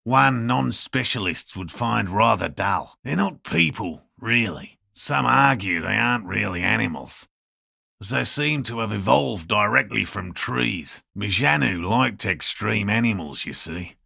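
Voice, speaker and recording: A man playing an earnest, slightly defensive cryptozoologist in a video game, with a raspy, gravelly voice and a measured, academic, matter-of-fact manner. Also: fairly low pitch, animated, sharp tone, dry recording, studio quality, full character voice. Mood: reflective, dry. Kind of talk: video game character dialogue.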